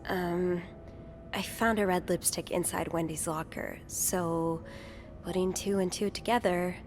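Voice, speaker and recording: An actress playing a young hotel maid turned amateur sleuth, speaking gently and hesitantly, stammering now and then. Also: female voice, lowish voice, steady tone, unhurried, a little hiss or hum.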